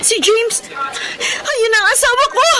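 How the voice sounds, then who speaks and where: A woman's voice: extremely high pitch, measured pace, very noisy. A woman with a Filipino accent playing an emotional, longing wife and mother in a radio drama, very emotive. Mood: overjoyed.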